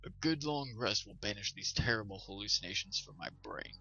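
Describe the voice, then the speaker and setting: A man's voice: lowish voice, a little fast, pushed and bright, breathy edge, lo-fi sound, exaggerated voice. A man voicing a weary old trapper, tormented and desperate, in a storybook reading. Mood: weary muttering. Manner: muttering, moaning, desperate.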